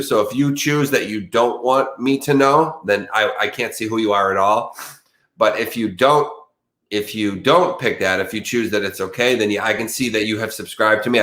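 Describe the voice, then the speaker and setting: A man chatting loosely with his viewers on a crime livestream, sounding a bit stuffed up from allergies. His voice sits on the low side and bounces around a roomy space, and he loses his thread and restarts often. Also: fairly bright tone. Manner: rambling, many fillers and restarts. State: slightly congested from allergies. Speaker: true crime channel host. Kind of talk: casual livestream chat with viewers.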